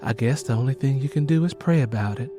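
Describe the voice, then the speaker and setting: A man's voice: medium pitch, fast, mellow, close to an everyday voice. A man voicing an aging, devout grandmother, stern but caring, with a Southern US accent in an audiobook. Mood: probing, concerned. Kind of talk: audiobook character dialogue. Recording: rain and music behind the voice.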